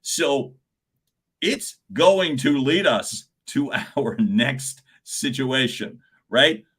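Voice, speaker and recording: Loud, animated middle-aged male coach, bright forceful voice, talks straight to a live audience. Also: very slow, breathy edge. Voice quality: loud speaking voice. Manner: animated, swings pitch and volume, chuckles often. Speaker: communication coach hosting a live stream. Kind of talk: live-streamed coaching talk.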